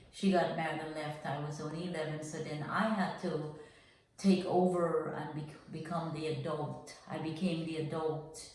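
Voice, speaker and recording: An older woman from Guyana telling her life story as a podcast guest, earnest and reflective, with frequent pauses. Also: low voice, a little mellow, heavy reverb, distant mic, slight background noise, rough recording.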